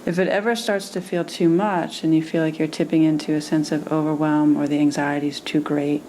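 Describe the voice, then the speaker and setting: A woman meditation teacher leading a guided meditation in a soothing manner, with gentle pauses between phrases. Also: deep voice, little pitch movement, slight background noise, poor audio.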